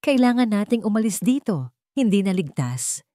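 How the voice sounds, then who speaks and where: A woman's voice: mid-range voice, big pitch swings, moderate pace, dark tone, dry recording, voice pushed up, lightly acted. A woman narrator plays a guarded protector with a Filipino accent, urgent and firm, giving instructions to a hunted friend in an audio story. The recording is dry and close.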